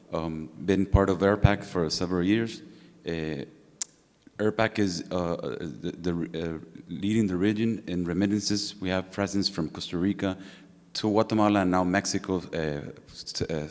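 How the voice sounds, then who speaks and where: A man's voice: deep voice, darkish tone. A man introducing his company as a panelist. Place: conference hall. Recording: echoey hall with long reverb.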